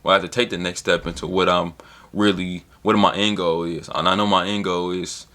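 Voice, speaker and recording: A young man in his twenties talks to camera in a loose, rambling way, full of slang and the odd swear. His voice sits low and deep. It's a casual solo vlog, a motivational monologue. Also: little pitch movement, a little fast, sharp tone. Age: 20s. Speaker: vlogger.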